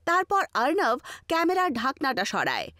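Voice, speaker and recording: A woman's voice: high-pitched, huge pitch swings, moderate pace, sharp tone, no room sound, clean studio sound. A woman reading scripted narration for a horror story as its storyteller.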